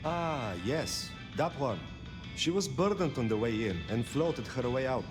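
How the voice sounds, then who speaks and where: A man's voice: medium pitch, animated, a little slow, lightly acted. A man voicing a weary, friendly pawnbroker in a video game, speaking in theatrical, wistful phrases. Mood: gentle, weary. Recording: music behind the voice.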